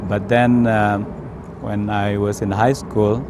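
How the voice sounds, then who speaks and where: A man from Indonesia with an Indonesian accent, telling his personal story in a hesitant, careful way with frequent pauses. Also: lowish voice, flat delivery, noisy background. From Indonesia, South Sumatra. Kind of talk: unscripted personal testimony.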